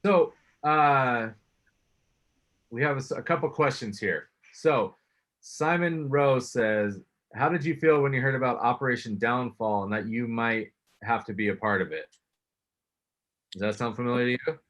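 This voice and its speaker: Co-host: man, mid-pitched, upbeat, reads viewer questions. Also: moderate pace, a bit bright. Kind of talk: live video conversation.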